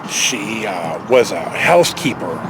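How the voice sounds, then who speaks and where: A middle-aged American man telling a personal story casually, in a rambling, reflective, halting way. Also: on the low side, very animated, noisy background. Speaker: storyteller vlogger.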